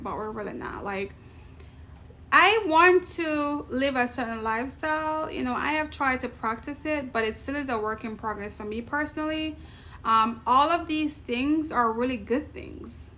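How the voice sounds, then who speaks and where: Bright-voiced Jamaican woman vlogging. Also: a little high. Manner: chatty, laughs often, emphatic asides. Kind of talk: casual talk to camera.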